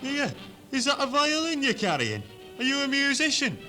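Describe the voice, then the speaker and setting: A man's voice: very high voice, huge pitch swings, normal speed, pushed and bright, faint music behind, exaggerated voice. A man playing a naive, whiny northern English son in his twenties in a 1970s hospital radio comedy. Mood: gormless. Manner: plaintive, gormless, exclamatory. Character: naive, whiny, dim 23-year-old son dreaming of fame.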